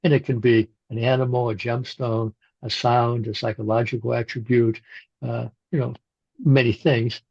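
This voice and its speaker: Older man, interview guest, gravelly, husky, halting speech, dry muffled audio. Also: mid-range voice, level tone, a little mellow, no echo. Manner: halting, with frequent restarts and fillers. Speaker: guest, Jungian analyst and shamanic practitioner. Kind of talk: reflective interview conversation. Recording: muffled, dull-sounding audio.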